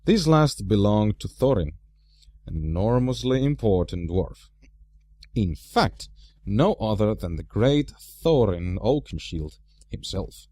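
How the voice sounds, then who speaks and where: A man narrating a storybook as an amateur reader, with an expressive, theatrical delivery. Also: on the low side, slow, no echo.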